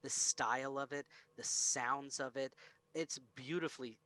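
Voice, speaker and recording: An American man on a podcast, telling personal stories in casual film discussion with an animated, acted-out delivery. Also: medium pitch, a little slow. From United States, grew up in Springfield and Texas. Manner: animated storyteller, acts out quoted lines. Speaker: podcast co-host.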